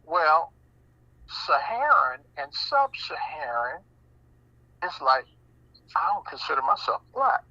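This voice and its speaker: A man talking on a radio show in an animated, folksy way, often repeating words as he goes. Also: fairly high pitch, takes it slowly, pauses often, dry recording, dull audio. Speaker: guest speaker.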